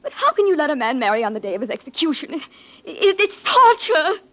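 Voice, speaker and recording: An actress playing an intense, scheming heiress in old-time radio melodrama, speaking in a theatrical, emphatic way. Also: female voice, high-pitched, sing-song, quick pace, fairly bright tone. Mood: agitated pleading. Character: scheming heiress, outwardly pleading and sympathetic, intense. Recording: muffled vintage radio recording.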